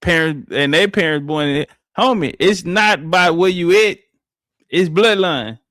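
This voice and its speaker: A man talks over a clip in a brash, slangy, mocking way, aiming his remarks at his viewers. His voice sits high for a man and sounds close and dry, with no room around it. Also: unhurried, a bit bright, no echo. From Southern United States. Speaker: reaction commentator. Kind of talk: casual profane reaction commentary.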